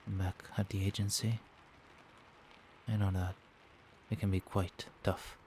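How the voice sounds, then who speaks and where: A man playing a doting, protective vampire boyfriend in a soft-spoken, soothing and attentive ASMR roleplay. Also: sits low, flat delivery, slow, a little hiss or hum. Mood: tender, concerned. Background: rain.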